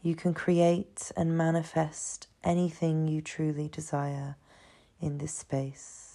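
A woman leading a guided meditation in a soothing, hushed manner, leaving long pauses between phrases. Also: sits low, monotone. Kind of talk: guided meditation, slow scripted narration.

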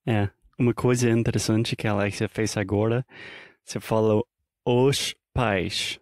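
Guy co-hosting a portuguese podcast, slow and steady, keeps asking questions, dry mic. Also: on the low side, no room sound. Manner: asks questions and checks understanding. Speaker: podcast co-host. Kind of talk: casual language-teaching chat.

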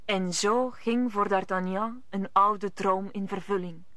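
A woman's voice: medium pitch, fairly even intonation, unhurried, fairly bright tone, no room sound. A woman narrator telling a scripted story in a radio play.

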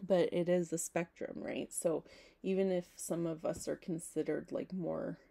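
A young woman talking casually to her camera at home, hoarse, rambling with lots of "like" and self-corrections. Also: lowish voice, fairly even intonation, a little slow, mellow. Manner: rambling, self-interrupting, frequent "like". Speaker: vlogger. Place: small home room, indoors.

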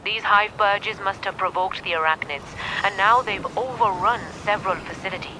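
A woman's voice: medium pitch, normal speed, sharp tone, a little hiss or hum, lightly acted, rough recording. A woman playing a cool, formal and authoritative corporate director in a video game. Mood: stern. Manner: formal, curt, commanding. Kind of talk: video game character acting.

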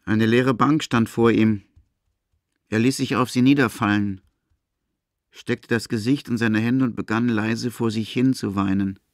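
A man's voice: mid-range voice, steady tone, a little slow, no echo, clean studio sound. A man reading scripted literary narration as the narrator of a radio play.

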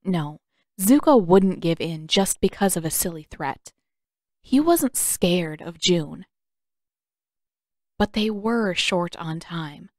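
A woman's voice: on the low side, animated, takes it slowly, pauses often, a little mellow, dry recording, studio quality. A woman reading scripted audiobook fiction as the narrator.

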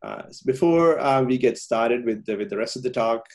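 A man's voice: medium pitch, animated, normal speed, darkish tone. A man from Sri Lanka giving a public science talk over a video call, with many ums and uhs and frequent restarts.

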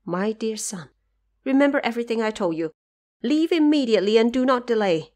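A woman's voice: on the high side, expressive, brisk, a little mellow, close to an everyday voice. Woman reading as anxious noble mom in an audio drama, earnest, telling her child what to do. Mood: urgent. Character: devoted, anxious noble mother giving urgent instructions.

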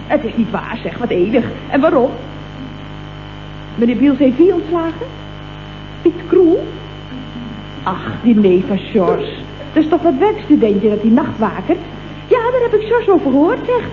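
An actress from the Netherlands with a Dutch accent, playing a chatty, gleeful, teasing office colleague in a comic radio play, gushing in exclamations. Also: female voice, high voice, very animated, unhurried, long pauses. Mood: gleeful, excited. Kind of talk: late 1960s comic radio play acting. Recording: tinny, phone-like old radio recording, faint noise.

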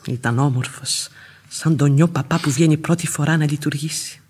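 An actress playing a blunt, grumbling, devoted old housekeeper in a Greek radio play. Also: female voice, low voice, a little mellow, slight background noise, close to an everyday voice. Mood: wry, teasing. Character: feisty, outspoken, devoted old housekeeper, long in service. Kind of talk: Greek radio theatre acting.

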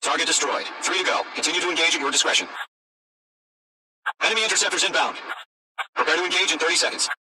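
A man playing a businesslike military officer giving crisp, procedural radio orders. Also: a little high, expressive, fast talker, long pauses. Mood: focused. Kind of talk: military radio briefing acting. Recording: distant radio voice under loud music.

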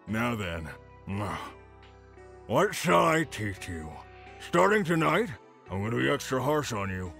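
Male actor as gruff panda mentor, gravelly, forceful, blunt and brash, manga dub, music behind. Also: medium pitch, full character voice.

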